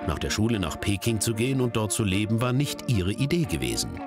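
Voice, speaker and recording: A man's voice: on the low side, big pitch swings, fairly quick. A man narrating a documentary in a scripted voice-over. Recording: narration over background music. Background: background music.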